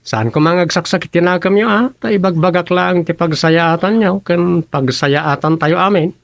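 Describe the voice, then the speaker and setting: A man's voice: sits high, moderate pace, gravelly, dry recording. A man playing a bossy, talkative townsman with a scolding, gossipy manner in a radio comedy-drama. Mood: scolding, advising.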